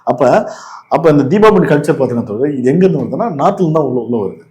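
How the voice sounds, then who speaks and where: Fast-talking Tamil Nadu man, argumentative explainer. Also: fairly high pitch, animated, darkish tone. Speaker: political commentary host. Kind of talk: casual opinionated political discussion.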